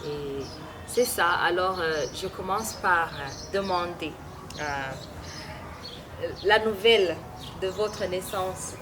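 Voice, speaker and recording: A woman from Burundi hosting an interview, speaking hesitantly with many filler pauses. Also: fairly low pitch. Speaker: interviewer and host. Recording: loud background noise.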